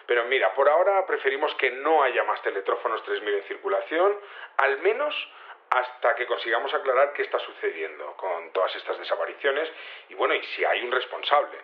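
An actor playing a cautious, matter-of-fact investigator, speaking in a measured conversational way during a radio interview in an audio drama. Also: male voice, medium pitch, big pitch swings. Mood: explanatory, concerned. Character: matter-of-fact male investigator giving a cautious official update. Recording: slightly dull, lo-fi call sound.

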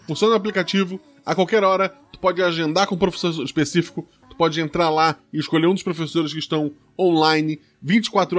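A man from Brazil hosting a tabletop roleplaying podcast, reading an ad and explaining the game rules in a chatty, informal, persuasive way. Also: on the high side. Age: adult, played RPGs since youth. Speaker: game master and podcast host. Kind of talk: podcast ad read and rules intro.

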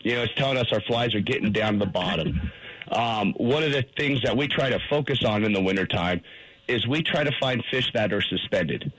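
Husky-voiced man explaining on radio. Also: on the low side, animated, a little fast, fairly bright tone, poor audio. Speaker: fly shop guest, fishing instructor. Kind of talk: radio interview guest.

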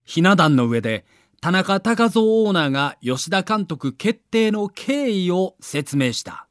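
High-pitched, dramatic male documentary narrator. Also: sing-song, unhurried, dry recording, clean studio sound. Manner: dramatic, emphatic storytelling. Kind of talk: scripted audio documentary narration.

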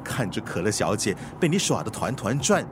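An actor playing a loyal, nagging chauffeur in a radio drama, earnest and questioning, deferential but blunt. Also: male voice, lowish voice, sing-song, a little fast. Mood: nagging, bewildered. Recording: clear street and vehicle noise.

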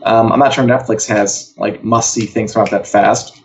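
A man's voice: fairly low pitch, fairly even intonation, moderate pace. A man co-hosting a casual entertainment live show, talking in a wry, emphatic way. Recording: dull, lo-fi audio.

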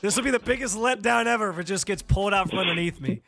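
A young man hosting casual sports talk radio, bantering quickly and poking fun at himself. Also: sits high, vocal fry, fairly bright tone, faint music behind. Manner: quick, self-deprecating banter. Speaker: radio host. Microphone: studio microphone.

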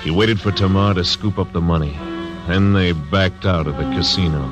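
An actor playing a grim, steady frontier marshal who narrates in clipped, laconic 1940s radio acting. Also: male voice, low-pitched, normal speed, loud music behind the voice, natural voice.

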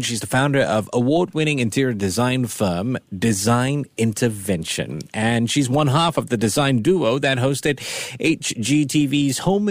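Lively, upbeat male radio host. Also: medium pitch, dry recording. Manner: upbeat, animated, laughs often. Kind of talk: radio interview. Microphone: studio microphone.

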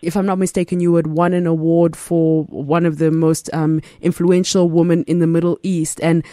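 A woman interviewer with a mellow, warm tone asks long, enthusiastic questions that wander through plenty of fillers. Her voice sounds close and dry, with no room around it. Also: lowish voice, level tone, normal speed, no room sound. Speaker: host. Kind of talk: casual interview talk.

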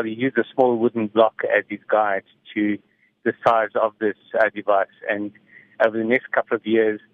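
A middle-aged man gives measured, explanatory answers in a reflective radio interview over the phone. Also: medium pitch, no room sound. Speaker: interviewed music technology commentator. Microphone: phone call. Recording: tinny, narrow phone line.

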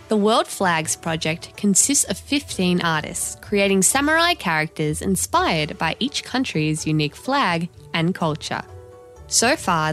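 A woman's voice: on the low side, a bit bright. A woman reporting a news feature and asking interview questions. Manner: scripted reporting.

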